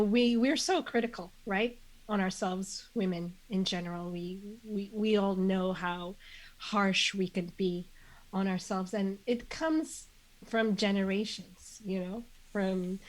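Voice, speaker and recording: A woman guest with a congested voice, speaking earnestly and in measured phrases, with many fillers. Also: medium pitch, rough recording. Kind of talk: reflective conversational interview.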